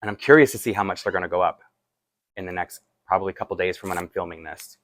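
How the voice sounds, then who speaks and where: A man's voice: deep voice, steady tone, fast talker, fairly bright tone, slight background noise. A man with a Toronto accent chatting casually and self-deprecatingly while unboxing and dressing a doll, with rambling asides. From Toronto, Canada. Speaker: doll collector vlogger.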